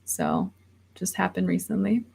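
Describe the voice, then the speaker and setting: A woman's voice: fairly low pitch, huge pitch swings, measured pace, gravelly, darkish tone, slightly rough. A woman in her thirties, rambling casually on a livestream and reading viewer comments aloud before answering them. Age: 30s. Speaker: livestream host.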